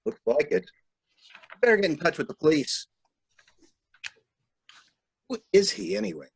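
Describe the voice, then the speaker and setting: Man playing a commanding stranded traveler, animated, close sound. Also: fairly high pitch, unhurried, vocal fry, slightly rough, no echo, exaggerated voice. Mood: brusque. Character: male stranded traveler, brisk, commanding, takes charge at crime scene. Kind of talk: dramatic reading of a stage-style murder mystery.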